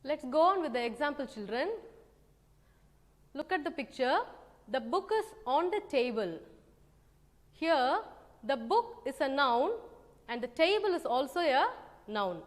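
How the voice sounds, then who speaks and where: Woman teacher, very high voice, unhurried, reverberant room. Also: sing-song. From South India. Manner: slow, careful teacherly explaining, sings simple rhymes. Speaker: English teacher. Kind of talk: online grammar lesson for children.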